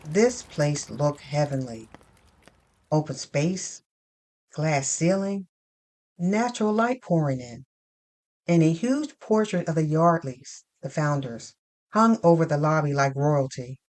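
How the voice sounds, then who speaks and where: A woman with a low voice narrates an audio drama in the first person. She takes her time, setting out the story in short, deliberate phrases with pauses between them. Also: lively intonation, a little mellow.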